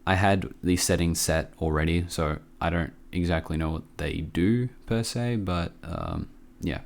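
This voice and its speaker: A man with a low voice walks through a software tutorial casually. He sounds a little under the weather and talks in an offhand, halting way, close to the mic. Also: vocal fry. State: sick with a cough. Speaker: tutorial presenter. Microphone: close microphone.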